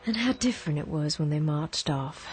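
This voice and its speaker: A woman's voice: low-pitched, expressive, moderate pace. A woman voicing a grieving woman weakened by fever, wistful and fragile, often sinking into whispers. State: feverish and weak. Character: grieving Southern woman waiting for her husband. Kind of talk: radio drama acting. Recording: radio drama with music behind.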